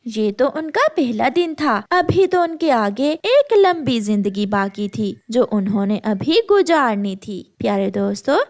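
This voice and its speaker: A woman storyteller narrates a children's cartoon in a high voice. Her pitch moves widely and she takes her time over the lines.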